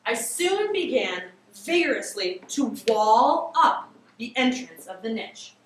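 Woman, dramatic story reader, very animated intonation, emphatic, theatrical, distant noisy classroom recording. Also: on the high side, echoey room. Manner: theatrical, emphatic, with drawn-out words. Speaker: teacher reading aloud to a class. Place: classroom, indoors. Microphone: far camera across the room.

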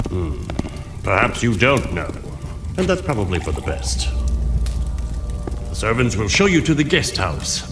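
Animated gruff old wizard uncle. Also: male voice, lowish voice, a little slow, pauses often, music in the background. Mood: stern. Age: older. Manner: theatrical, avuncular, brusque. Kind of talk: video game voice acting.

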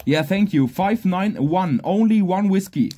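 A man's voice: sits high, animated, unhurried, background noise. A man working amateur radio contest exchanges outdoors, repeating short clipped phrases and callsigns in phonetics. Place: outdoors at a garden picnic table.

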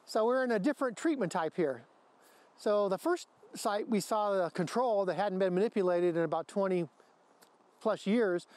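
A man's voice: high voice, a little mellow. An American man in his fifties, a researcher giving an educational explanation to camera outdoors. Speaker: forest researcher. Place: outdoors in a forest clearing.

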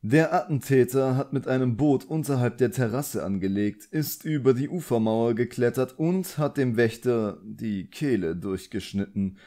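A man's voice: medium pitch, moderate pace, hardly any pauses, mellow, pitched above the performer's own voice, close to an everyday voice. A man voicing an elegant, condescending villain sorcerer in an audiobook, suave and politely commanding. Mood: smooth, authoritative. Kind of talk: audiobook character acting.

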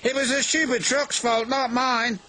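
Man voicing a high-pitched, grumbling vain steam engine. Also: moderate pace, a bit bright, slight background noise. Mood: grumpy. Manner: grumbling, snorting, boastful. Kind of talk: children's storybook character voice. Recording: music behind the voice.

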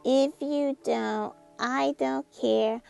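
A woman voicing an excitable young boy frog from a storybook, hammy and exclamatory. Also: high voice, creaky, clear, resonant tone, soft background music, heavily acted. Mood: hammy, spooky. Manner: exclamatory, hammy, drawn-out words.

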